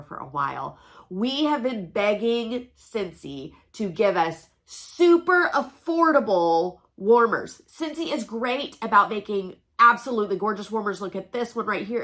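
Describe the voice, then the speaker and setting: A woman's voice: mid-range voice, a bit bright. A chatty woman hosts a home unboxing vlog, sounding congested from allergies and talking in an upbeat, folksy way. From Oklahoma, USA. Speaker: direct-sales consultant and channel host. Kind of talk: casual product unboxing vlog. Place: home room with a noisy air conditioner.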